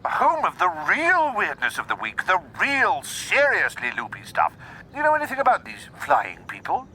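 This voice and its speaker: An actor playing an excitable, gushing newspaper journalist who stammers through florid slang in a radio comedy. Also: male voice, high voice, moderate pace, a little hiss or hum, heavily acted, low-quality recording. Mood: excited, babbling. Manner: stammering, florid, swooping delivery. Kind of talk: radio comedy drama acting.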